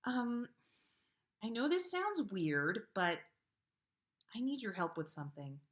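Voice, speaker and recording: A woman reads the part of a bookish teenage girl in a storybook tale. The character is awkward and impatient, speaking hesitantly and a little higher than a plain narrating voice. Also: huge pitch swings, slow pace, fairly bright tone, exaggerated voice. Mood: sheepish. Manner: hesitant, exasperated.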